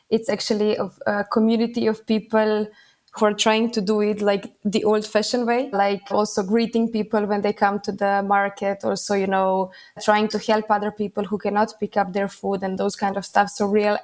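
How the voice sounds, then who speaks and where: Woman, mid pitch, relaxed, laughs a lot, fillers like "you know". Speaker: marketing lead. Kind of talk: casual interview answers.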